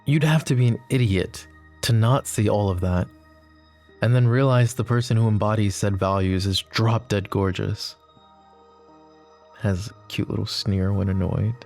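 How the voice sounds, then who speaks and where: A man playing a possessive, lovestruck mafia boss in an intimate audio roleplay, speaking softly and emotionally with halting phrases. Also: fairly low pitch, fairly even intonation, faint music behind. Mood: adoring. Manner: soft, emotive, halting delivery with stammers. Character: possessive, lovestruck young male mafia boss, tender and anguished. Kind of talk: intimate roleplay ASMR acting.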